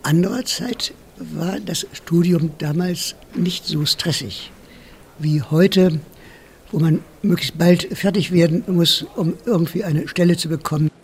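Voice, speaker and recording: A man's voice: fairly high pitch, steady tone, unhurried, slightly rough. An older man speaking reflectively and measuredly in a documentary interview.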